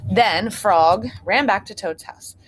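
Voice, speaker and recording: A woman reading a story aloud to children like a teacher, pausing to explain things simply and check in with her listeners. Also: mid-range voice, slight background noise, low-quality recording. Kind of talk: read-aloud storytelling with asides to young listeners. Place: outdoors on a front porch. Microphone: computer propped on a chair.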